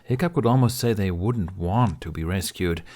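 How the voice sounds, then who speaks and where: Man, lowish voice, audiobook narrator, scripted fiction reading. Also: normal speed, a little mellow.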